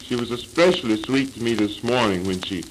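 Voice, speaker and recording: A man's voice: mid-range voice, a little fast, lightly acted. A man playing a hapless, henpecked husband in a mock-earnest, theatrical early 20th century comic monologue on an old disc recording. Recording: crackly, hissing 1939 78 rpm record.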